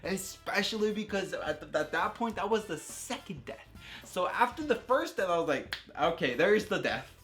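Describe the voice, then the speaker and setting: A man's voice: high voice, big pitch swings, normal speed, fairly bright tone, soft background music. A man giving casual, unscripted opinions as a video creator, talking in an enthusiastic, slangy way and repeating phrases for emphasis. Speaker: video creator reviewing a game.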